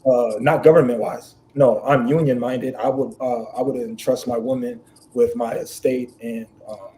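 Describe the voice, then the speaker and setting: A man with a deep voice talking bluntly and emphatically in a casual live-stream chat, swearing freely. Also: steady tone, unhurried, dark tone, slight background noise, poor audio. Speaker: guest.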